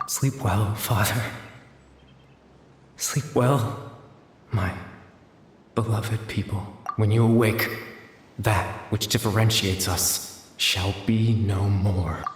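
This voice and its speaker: A man playing a gentle, mysterious storyteller in a video game, soothing and solemn, reciting poetically through an ethereal reverb. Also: on the low side, steady tone. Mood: tender, solemn. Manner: soothing, tender, deliberate. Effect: ethereal long reverb. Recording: echoing long reverb over soft music.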